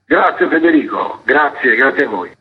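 A man with a husky voice, a theatre's artistic director, explaining a programme in an interview. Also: medium pitch, sing-song, normal speed, soft background music. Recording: dull lo-fi radio interview audio.

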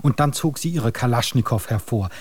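A man's voice: mid-range voice, lively intonation, normal speed, darkish tone, husky. A man narrating a scripted absurdist radio drama as its storyteller.

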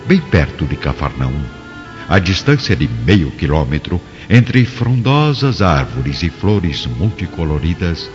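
A man's voice: sits low, big pitch swings, a little slow. A man with a Brazilian accent narrating a radio soap in a solemn, dramatic, scripted style. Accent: Brazilian Portuguese. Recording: reverberant, dull lo-fi, music behind.

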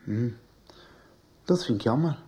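An actress playing an eager, bookish and polite secondary-school pupil in a radio soap. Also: female voice, a bass voice, expressive, a little slow, warm tone. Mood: eager, curious. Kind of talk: Dutch radio soap acting.

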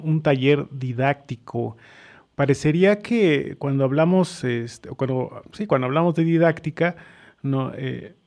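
Very gravelly Mexican man hosting radio. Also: mid-range voice, darkish tone. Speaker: radio host, interviewer. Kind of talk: conversational radio interview.

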